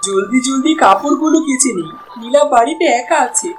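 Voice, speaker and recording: Woman voicing a worried, high-pitched village mother. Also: animated, brisk, faint music behind. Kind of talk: cartoon dubbing.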